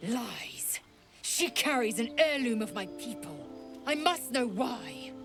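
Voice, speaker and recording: A woman's voice: fairly high pitch, expressive, slow, over music. An actress playing a proud, fierce alien female warrior in a dramatic video game, blunt and contemptuous, with a haughty, clipped, formal way of speaking. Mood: menacing.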